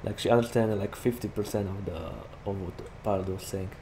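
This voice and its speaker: A man's voice: lowish voice, fairly even intonation, fairly quick, mellow. A young man from Slovakia with a Slovak accent, chatting casually with many "like" fillers while commenting on his gameplay. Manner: rambling, chatty, heavy use of "like" fillers. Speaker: gaming YouTuber. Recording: game vehicle noise behind voice.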